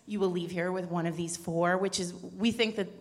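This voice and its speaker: A woman's voice: fairly low pitch, steady tone, normal speed. A woman co-hosting a podcast, chatting casually and jokily at a live panel. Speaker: podcast co-host. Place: large indoor event room. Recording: echoey hall, live room microphone.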